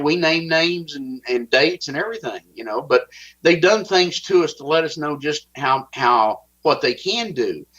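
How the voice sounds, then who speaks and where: A man with a Texas accent, giving halting interview testimony and often repeating words as he starts a sentence. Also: mid-range voice, a bit bright, breathy edge. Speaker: guest. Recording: dull lo-fi audio.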